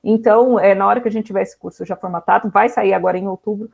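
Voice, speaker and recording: A woman's voice: mid-range voice, fairly even intonation, fast, vocal fry, darkish tone, husky, highs cut off. A woman economist from São Paulo who is a guest on the show and talks in a friendly, chatty way. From São Paulo, Brazil. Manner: friendly, chatty, laughs easily. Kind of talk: casual chat.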